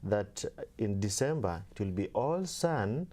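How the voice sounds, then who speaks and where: A young man from Kenya, a seminarian guest, talking casually and softly with many fillers. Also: lowish voice, lively intonation, unhurried, slight background noise. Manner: soft-spoken, many fillers, laughs easily. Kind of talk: casual interview talk.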